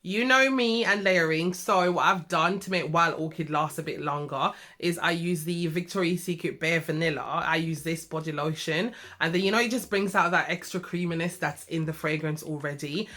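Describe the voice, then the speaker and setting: A woman's voice: low voice, moderate pace, few pauses, bright, forceful tone. A young British woman reviewing fragrances in a chatty, affectionate way, sounding slightly nasal from a cough. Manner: chatty and affectionate, full of asides to viewers. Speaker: beauty vlogger.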